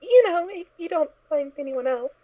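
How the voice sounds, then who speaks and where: Girl voice actor chatting casually, really high voice, tinny phone-like call audio. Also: lively intonation, moderate pace. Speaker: voice actor in an online dramatic reading. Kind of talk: casual group chat.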